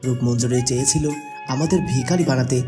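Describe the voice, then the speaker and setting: A man's voice: mid-range voice, fast talker, over music, natural voice. A performer voicing a kind young prince praising his wife's plans. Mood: admiring.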